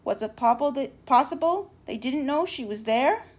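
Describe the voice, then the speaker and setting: A woman with a fairly high voice reads a children's picture book aloud. Her delivery is expressive and deliberate, leaning on key words for drama, and she occasionally trips over a word.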